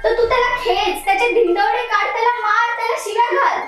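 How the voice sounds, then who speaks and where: Young woman commuter, stage monologue, voiced by an actress; bitter and accusing, soft music behind. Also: very high voice, fairly quick. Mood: furious. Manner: bitter, accusing, rising to anger. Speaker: actor in a one-act monologue. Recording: very echoey room, music underneath.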